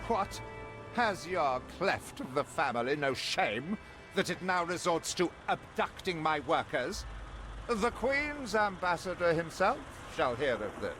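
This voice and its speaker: An actor playing a pompous, spiteful old tyrant of an uncle in a video game, blustering and scornful. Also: male voice, fairly high pitch, very animated, measured pace. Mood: outraged. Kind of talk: video game cutscene acting. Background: music.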